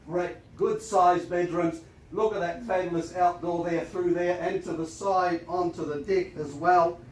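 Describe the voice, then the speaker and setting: A man with a New Zealand accent, an auctioneer with a croaky voice, reading formal auction terms briskly and then selling the property with enthusiasm. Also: fairly high pitch. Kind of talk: formal auction preamble and sales pitch. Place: auction room with audience. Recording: echoey room, mic at a distance, faint noise.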